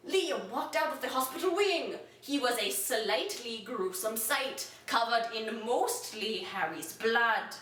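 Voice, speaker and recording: High-voiced woman reading in an echoey room. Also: lively intonation, takes it slowly, few pauses, a bit bright. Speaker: reader of an amateur story. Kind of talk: mock-dramatic storytelling, reading aloud. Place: echoey room, away from the mic. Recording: roomy echo, distant from microphone.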